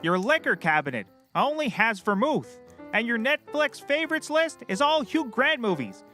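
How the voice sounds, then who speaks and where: An excitable, self-important comic private eye, with a sharp, high voice. He is petty and theatrical, bickering and insulting in big swoops of pitch. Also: normal speed, faint music behind, exaggerated voice. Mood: scornful. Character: excitable, petty, bombastic male private investigator.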